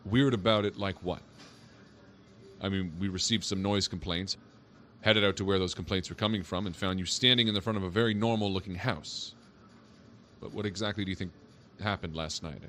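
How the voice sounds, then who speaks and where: A man playing a calm, probing police officer in an audio drama, speaking casually and patiently. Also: sits low, fairly quick, a little hiss or hum. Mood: coaxing, reassuring. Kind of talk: naturalistic audio drama acting.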